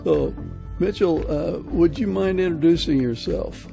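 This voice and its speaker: A man's voice: fairly high pitch, measured pace, vocal fry, warm tone, faint music behind. A man hosting a podcast and interviewing a guest in a conversational style.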